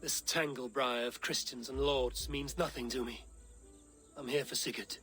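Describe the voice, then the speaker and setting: An actor playing a stern, blunt Viking leader, speaking in terse, solemn declarations. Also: male voice, mid-range voice, steady tone, normal speed, pushed and bright, faint music behind, close to an everyday voice.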